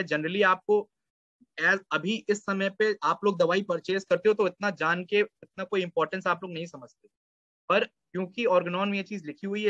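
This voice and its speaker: Man, 30s, online lecturer; high voice, reads quotes slowly; dry lo-fi webcam sound. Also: fairly bright tone, no echo. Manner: explains in Hindi, reads English passages slowly. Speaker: homeopathy lecturer. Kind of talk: online lecture explaining slides. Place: indoor room, webcam lecture. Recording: dull, lo-fi sound.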